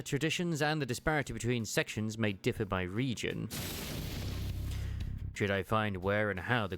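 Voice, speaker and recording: A man voicing a bookish, reserved martial archivist in a game fan dub, speaking in formal, archaic, measured phrases with gentle wistfulness. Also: lowish voice. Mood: hopeful resolve. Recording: music under the voice.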